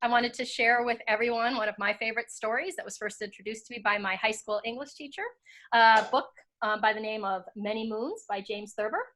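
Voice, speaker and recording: An American woman serves as host and storyteller. Her voice sits fairly high as she narrates in a storybook reading style. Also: steady tone, fairly quick, pushed and bright. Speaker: host and narrator, school teacher.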